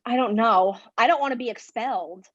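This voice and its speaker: A woman's voice: on the high side, a little fast, natural voice. Woman playing a muffled, resigned schoolgirl. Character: resigned schoolgirl accomplice, terse and agreeable. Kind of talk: staged play reading. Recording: dull, muffled stage recording.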